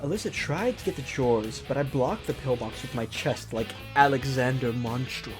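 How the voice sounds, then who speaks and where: Very low-voiced woman hosting a let's-play. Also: moderate pace. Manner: playful, animated asides. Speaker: host of a gaming show. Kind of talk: casual let's-play chat and dramatic read-aloud of a visual novel. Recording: guitar game music behind the voice. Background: guitar game music.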